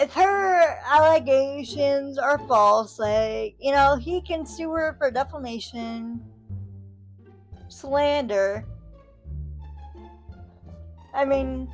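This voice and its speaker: A woman's voice: sits high, slow, pauses often, soft background music. A woman commenting on online drama, hesitant and musing, often trailing off. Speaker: commentary youtuber. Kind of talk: slow reflective commentary.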